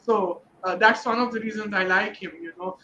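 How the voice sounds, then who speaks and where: A man's voice: high-pitched, steady tone, brisk, pushed and bright, highs cut off, slight background noise. A man reading novel excerpts aloud and then discussing them thoughtfully, with many fillers and restarts, on a virtual event call. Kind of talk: dramatic reading and literary discussion.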